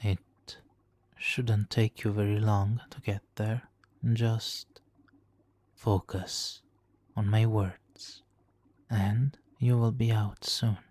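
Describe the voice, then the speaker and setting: A man's voice: on the low side, flat delivery, drawn-out words, long pauses, darkish tone. A man playing a suave, manipulative supervillain in an ASMR roleplay, silky and theatrically polite, smugly doting and sometimes slipping into whispers. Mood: soothing, hypnotic. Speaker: audio roleplay performer. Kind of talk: ASMR roleplay, hypnotic countdown.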